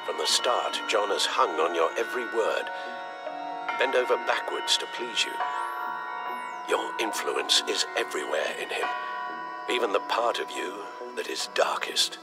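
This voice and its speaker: An actor playing an elderly, devoted English butler in a video game, speaking in a formal, measured, worried manner with some hesitation. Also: male voice, fairly low pitch, lively intonation. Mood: grave, reproachful. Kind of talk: dramatic video game acting. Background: background music.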